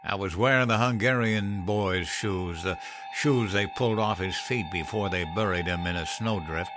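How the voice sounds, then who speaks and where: A man's voice: lowish voice, normal speed, over music, close to an everyday voice. A man playing a cynical, drawling old country man. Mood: indignant, scornful. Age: older. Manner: folksy drawl, gruff and scornful. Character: cynical old country man recounting grim Depression-era hardship. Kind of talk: dramatized horror story narration.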